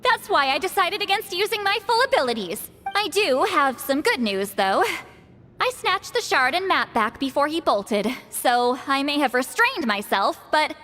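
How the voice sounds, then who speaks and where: A woman's voice: high-pitched, big pitch swings, moderate pace, sharp tone, big-room sound, heavily acted. A woman voicing a proud, offhand young sorceress who talks in a breezy, matter-of-fact way, in video game dialogue.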